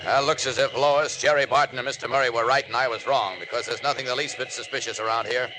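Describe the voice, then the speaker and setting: A man's voice: medium pitch, fairly even intonation, fast, noisy background, natural voice. An actor playing a bold, heroic male superhero in a 1940s radio serial, declaiming as he thinks aloud. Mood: musing. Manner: declamatory, exclamatory, talking to himself. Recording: old radio recording, music underneath.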